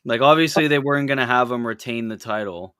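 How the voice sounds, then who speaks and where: A man's voice: medium pitch, fast, sharp tone, clean tone, dry recording. A man in his early twenties from Canada, chatting casually as a podcast guest with wry, offhand swearing. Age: early 20s. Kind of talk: casual chat.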